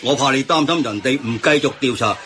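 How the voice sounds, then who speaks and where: A man's voice: medium pitch, brisk, pushed and bright, a little hiss or hum, natural voice. A man with a Hong Kong accent acting a well-informed friend who patiently explains news in a radio drama. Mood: reassuring.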